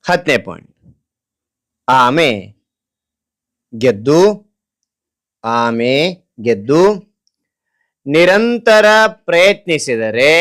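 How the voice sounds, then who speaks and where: A man teaching like a dictation lesson, reading out short phrases deliberately and repeating them word by word. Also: medium pitch. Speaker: teacher presenting a children's writing lesson. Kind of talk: slow dictation-style lesson, reading out a story point by point.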